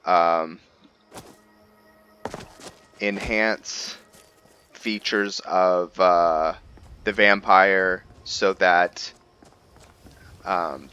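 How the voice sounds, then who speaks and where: A man giving casual gameplay commentary, thinking aloud with halting, hesitant pauses. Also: low voice, sharp tone, highs cut off. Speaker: gamer host of a roleplay series.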